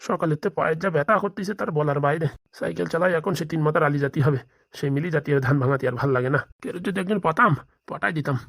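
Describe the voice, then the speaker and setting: A woman's voice: sits low, quick pace, creaky, no room sound, studio quality. A woman voicing a grumpy, suspicious old village grandfather who grumbles and complains. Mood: grumbling. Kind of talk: comic cartoon voice acting.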